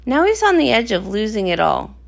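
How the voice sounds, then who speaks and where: High-pitched, animated woman storyteller. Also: brisk, vocal fry. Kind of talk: expressive comic read-aloud narration.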